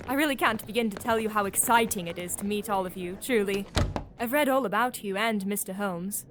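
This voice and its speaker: A woman's voice: medium pitch, fairly quick, noisy background, close to an everyday voice. A woman playing an eager, gossipy young doctor in an audio drama, chatty and proper. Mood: gushing. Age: young adult.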